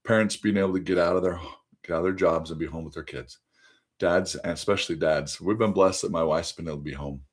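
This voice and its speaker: A man hosting a casual phone livestream, pitching a side business to viewers, his voice a little hoarse from being under the weather. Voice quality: conversational, addresses viewers directly, frequent fillers. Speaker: livestream host.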